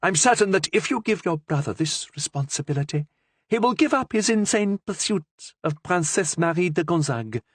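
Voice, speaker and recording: Man doing an old queen mother, higher voice, pleading, formal, husky, swooping. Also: lively intonation, normal speed, dry recording. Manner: imploring, formal speech. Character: pleading older queen mother, earnest and insistent.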